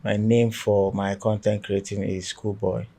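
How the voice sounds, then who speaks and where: A man from Nigeria with a Nigerian accent, a guest in a podcast interview who talks in a chatty, relaxed storytelling way. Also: on the low side, a little slow, creaky. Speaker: guest content creator. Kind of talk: casual conversational interview. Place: podcast studio.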